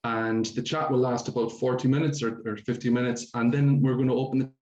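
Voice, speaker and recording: A man's voice: medium pitch, steady tone, a little fast, darkish tone, a little hiss or hum. Dull echoey online audio, man, polite, hesitant, event moderator, informal announcements.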